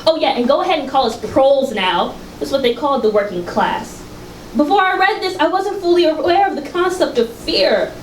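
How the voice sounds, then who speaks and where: Woman acting prim, high-voiced future researcher, echoey room. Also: expressive, fairly quick, recorded from a distance. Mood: earnest, anxious. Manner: precise, formal, didactic. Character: prim, rule-abiding female researcher of a far-future utopia, anxious. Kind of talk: staged reading of a play. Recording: echoey, noisy live room recording.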